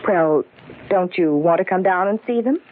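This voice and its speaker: An actress playing a deceitful private nurse, outwardly polite and defensive, in an old-time radio thriller. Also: female voice, on the low side, normal speed, close to an everyday voice. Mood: politely deferential. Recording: noisy, narrow-band old radio recording.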